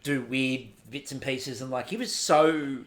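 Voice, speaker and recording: A man's voice: medium pitch, unhurried, a bit bright. A man co-hosting a comic recap podcast, chatting in a dry, teasing way. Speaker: podcast co-host.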